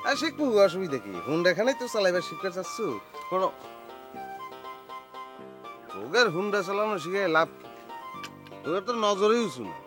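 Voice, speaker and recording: Animated high-pitched boastful village man. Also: fairly quick, long pauses, music in the background. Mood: bossy. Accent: rural Bangladeshi dialect. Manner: bossy, boastful. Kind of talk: comic TV drama acting.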